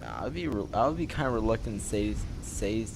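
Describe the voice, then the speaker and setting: A man doing casual gameplay commentary, rambling and halting, often repeating himself as he goes. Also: lowish voice, big pitch swings, gravelly, noisy background. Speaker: gamer playing and commenting. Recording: loud game music behind.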